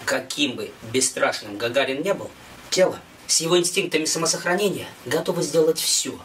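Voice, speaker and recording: A middle-aged man performs a scripted story with dramatic emphasis. His delivery is theatrical, set slightly away from the mic with music clearly behind it. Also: on the high side, a little fast, a bit bright, distant mic. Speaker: author narrating own story.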